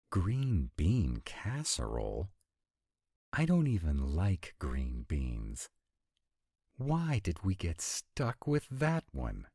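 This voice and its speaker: A man's voice: a bass voice, dark tone, breathy edge, no echo, clean studio sound, exaggerated voice. A man playing a grumpy, sardonic vampire in a scripted roleplay audio drama, sighing and exasperated in his delivery. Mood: sardonic, reluctant. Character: grumpy male vampire mate, sardonic and proud.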